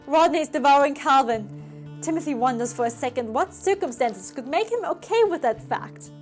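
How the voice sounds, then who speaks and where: A woman with a fairly high voice narrates a scripted story. Her delivery is theatrical and full of suspense, set over background music. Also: lively intonation, normal speed.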